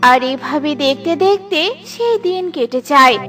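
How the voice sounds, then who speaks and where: A woman's voice: high voice, lively intonation, moderate pace, pushed and bright. A woman narrating a children's cartoon story in a simple storytelling way. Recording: children's cartoon with music behind.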